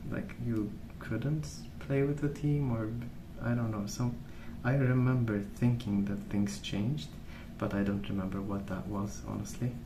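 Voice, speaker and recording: A soft-spoken man rambling in an ASMR style, with a hesitant, meandering and unhurried way of recollecting. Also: on the low side, fairly even intonation. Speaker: ASMR video host. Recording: roomy, distant mic, noisy background. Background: pencil colouring sounds, background noise.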